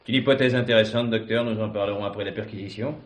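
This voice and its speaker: An actor playing a firm, dryly courteous police commissioner in a French 1970s stage thriller, speaking with formal, insistent authority. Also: male voice, on the low side, steady tone, racing, close to an everyday voice.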